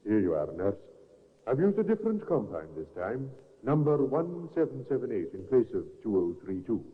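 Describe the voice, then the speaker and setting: An actor playing a calm doctor in a 1950s radio drama, matter-of-fact and businesslike. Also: male voice, mid-range voice, normal speed, close to an everyday voice. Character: calm male doctor writing prescriptions. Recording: tinny radio recording with long echo.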